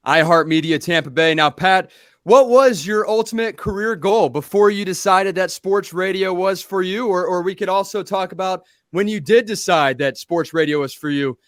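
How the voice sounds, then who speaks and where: Brief: a formal podcast interviewer, a man with a higher voice, recorded dry. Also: a bit bright, no room sound. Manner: formal, leading questions with recaps. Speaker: podcast host. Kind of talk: scripted interview questions.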